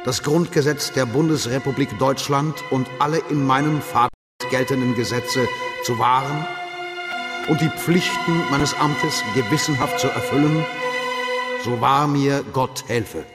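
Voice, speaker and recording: An actor playing a cold, bullying German police commissioner in a radio drama, interrogating with insistent, deliberate emphasis. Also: male voice, mid-range voice, loud music behind the voice, natural voice. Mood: solemn. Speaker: police detective.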